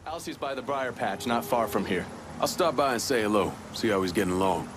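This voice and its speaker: An actor playing a cool, unafraid fighter who talks in a laconic, understated way. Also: male voice, medium pitch, expressive, fairly quick, background noise, lightly acted. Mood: cool, casual. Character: cool, terse male fighter, unafraid and calculating.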